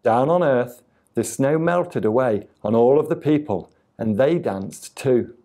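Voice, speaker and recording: Slow, mellow male children's storyteller. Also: mid-range voice. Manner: deliberate, expressive reading with acted sound effects. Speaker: storyteller reading a picture book aloud to children. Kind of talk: storytime narration of a children's tale.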